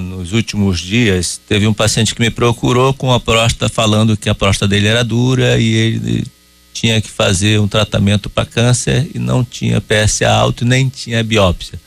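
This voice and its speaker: Male urologist guest, gravelly and dry-miked, calm explainer. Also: fairly low pitch, dry recording. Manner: calm, explanatory, measured with hesitations. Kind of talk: radio call-in medical advice. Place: radio studio.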